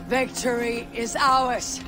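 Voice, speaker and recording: A man playing a stoic, steadfast Viking warrior leader in a video game, speaking in a measured, solemn way. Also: very high-pitched, little pitch movement, over music. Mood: rousing, solemn. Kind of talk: dramatic video game cutscene acting.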